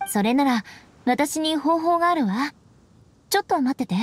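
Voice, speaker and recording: A woman's voice: sits high, lively intonation, normal speed. A woman playing a poised, kindly young noblewoman knight in anime-style game dialogue, composed and assured. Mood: calm kindness.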